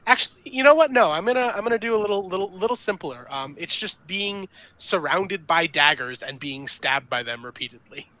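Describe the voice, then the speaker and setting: A player in a tabletop roleplaying game, chatting informally and thinking aloud in a halting way while describing moves. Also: mid-range voice, animated, bright, forceful tone, rough recording. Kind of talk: casual game chat.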